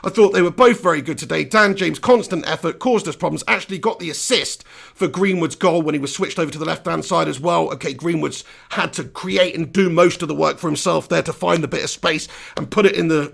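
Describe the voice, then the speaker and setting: A man hosting a live post-match reaction, hoarse from losing his voice, ranting in an animated, exasperated way. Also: a little high, brisk. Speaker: football fan channel host.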